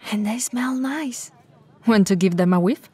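A woman playing a tall, friendly, teasing bento shop owner in an intimate ASMR roleplay, with a breathy voice and a casual, chatty manner. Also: fairly high pitch, unhurried, a little mellow. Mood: relaxed, chatty. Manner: casual, playful, hesitant and chatty.

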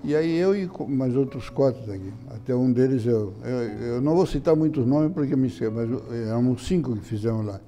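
An older man answering interview questions in a halting way, often repeating his words and chuckling. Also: medium pitch, animated, dark tone, soft background music. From Brazil. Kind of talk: reminiscing interview talk. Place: indoor room beside an altar.

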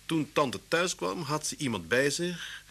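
An actor playing a lively, wry, brisk detective in a vintage radio drama. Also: male voice, a little high, gravelly, breathy edge, heavily acted. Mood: grave, explanatory. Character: lively male Scotland Yard detective, wry, brisk husband. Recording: noisy old radio recording with hum.

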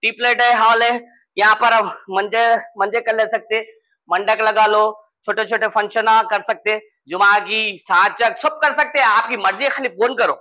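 A man in his thirties with a Hyderabadi accent, showing a farm guest house in a lively, joking promotional walkthrough, filmed outdoors and indoors. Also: sits high, normal speed, no echo, rough recording. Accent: Hyderabadi Hindi-Urdu. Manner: lively and joking, often calling out to viewers. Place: outdoor garden and indoor hall.

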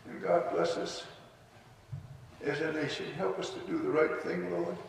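A man's voice: a little high, level tone, measured pace, creaky. A man in his seventies, a pastor and veteran, giving a solemn memorial address and prayer at a podium in a hall. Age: 70s. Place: large school-like hall, at a podium. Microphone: podium microphone. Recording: echoey hall, distant mic, faint noise.

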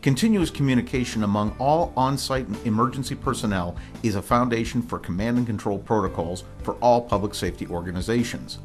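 An American man reading scripted promotional narration in a formal, measured, informational manner. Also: mid-range voice. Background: music bed.

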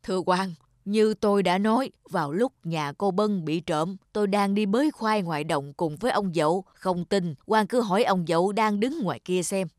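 A woman's voice: medium pitch, normal speed, darkish tone, dry recording, natural voice. A woman voicing a wronged male farmer in a cartoon folk tale, earnest and firm as he seeks justice.

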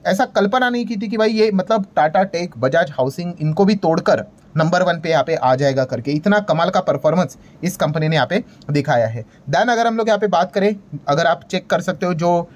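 A man's voice: a little high, darkish tone. An Indian man giving a casual, emphatic explainer as a market video host, conversational, hyping things up and mixing in English terms with repeated check-in phrases. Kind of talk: casual explainer commentary over screen graphics.

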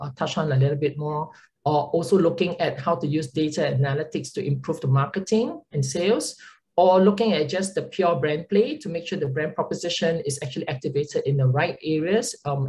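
A woman's voice: deep voice, darkish tone. A woman with a Singaporean accent, a consulting partner presenting on a video call webinar, chatty with frequent filler words and tag questions. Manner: conversational, frequent "right?" tags and um fillers.

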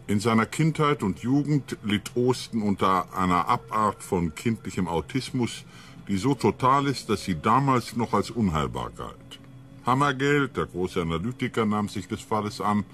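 A man playing a calm, patient ship psychologist who explains things emphatically in a science fiction radio drama. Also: lowish voice, moderate pace. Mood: measured, explanatory.

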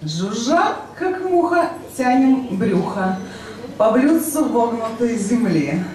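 Slow, swooping female poet in echoey club. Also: a little high, big pitch swings, darkish tone. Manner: theatrical, playful. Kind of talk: expressive live poetry recital. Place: rock club stage, indoors. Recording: very echoey club, noisy, distant pickup.

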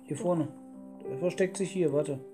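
An easygoing man chats casually through a video game as a let's player. He drops in murmured asides to his partner, and music plays clearly behind his voice. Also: fairly high pitch, normal speed. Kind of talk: casual gameplay commentary.

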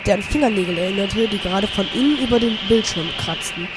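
Audio-play narrator, medium pitch, theatrical storytelling, parody, loud music behind. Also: fast talker, low-quality recording.

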